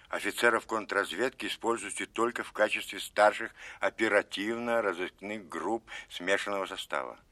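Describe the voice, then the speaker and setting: Deep, stern Soviet general. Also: male voice, sharp tone, no room sound. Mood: brisk, businesslike. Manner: authoritative, clipped orders, emphatic repetition. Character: stern commanding Soviet colonel general, pressured, urgent. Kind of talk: Russian audio drama acting.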